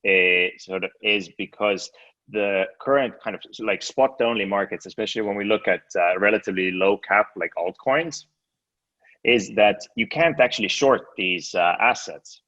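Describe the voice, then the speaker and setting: Low-voiced man from Estonia, halting speech. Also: sharp tone, lo-fi sound. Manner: hesitant, many fillers and restarts. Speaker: guest, exchange co-founder. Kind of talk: casual interview.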